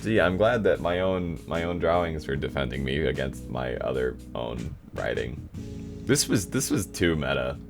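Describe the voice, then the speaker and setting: A man's voice: a bass voice, expressive, moderate pace, creaky, over music. A man doing casual, dry, self-deprecating commentary as he hosts a playthrough of his own game. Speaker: host playing own old visual novel.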